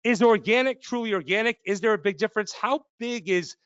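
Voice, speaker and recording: A man's voice: high-pitched, brisk, a bit bright, no echo, dull audio. A man hosting a podcast in a casual, chatty way, telling anecdotes and following them with repeated questions. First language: English and Polish speaker. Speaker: podcast host, company CEO. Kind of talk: casual chat, anecdotal interviewing.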